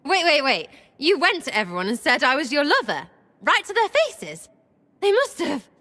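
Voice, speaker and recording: A woman's voice: sits high, huge pitch swings, normal speed, bright, forceful tone, dry recording, exaggerated voice. Cheeky, teasing elf girl. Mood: giddy. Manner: playful, teasing, crude slang. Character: cheeky irreverent young elf woman. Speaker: companion character.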